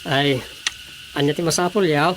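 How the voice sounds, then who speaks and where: A man's voice: fairly high pitch, fairly even intonation, normal speed, background noise, close to an everyday voice. A man playing an exasperated comic village councilor with an Ilocano accent, full of questions and exclamations, in a radio comedy-drama. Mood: puzzled.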